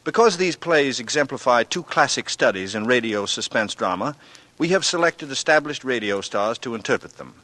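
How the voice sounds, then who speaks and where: A man narrating a 1940s radio drama as host, formal, measured and theatrical in his scripted delivery. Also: medium pitch, animated, pushed and bright, no room sound. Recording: old radio broadcast.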